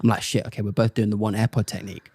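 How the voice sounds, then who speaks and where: A young man and comedian, chatting casually as a podcast guest and telling animated stories in which he acts out other people's lines. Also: lowish voice, rapid-fire, vocal fry, breathy edge, no echo, clean studio sound. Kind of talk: casual chat, storytelling.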